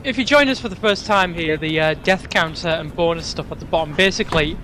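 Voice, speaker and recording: A British man with a high-pitched voice co-commentates on a let's play. He talks casually and jokes along. Faint noise is heard in the background. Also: brisk, pushed and bright. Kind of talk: casual joking banter.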